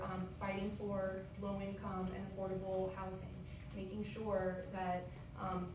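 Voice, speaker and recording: A woman in her thirties, a city commissioner giving an earnest opening statement at a candidate forum with frequent ums. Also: mid-range voice. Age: 30s. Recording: echoey hall, far from mic, noisy.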